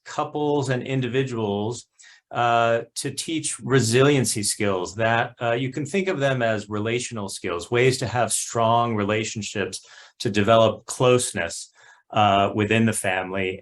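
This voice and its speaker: A man on a video call presenting a family wellbeing program, explaining earnestly with frequent uhs. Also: medium pitch. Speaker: family wellbeing center staff. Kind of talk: online meeting presentation.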